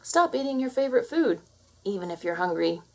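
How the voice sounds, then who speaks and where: A woman's voice: medium pitch, big pitch swings, a little fast. Woman reading as a confident, theatrical alpha cat teacher. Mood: sly, instructive. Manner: bossy, theatrical instructor. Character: confident alpha cat lecturing kittens on cat life. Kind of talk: children's storybook read-aloud.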